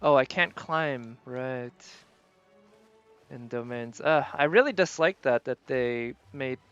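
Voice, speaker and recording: A man's voice: medium pitch, slow, a bit bright, smooth, highs cut off, soft background music. A man live streaming a video game, chatting casually with viewers and musing aloud as he plays. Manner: thinks aloud, tentative and musing.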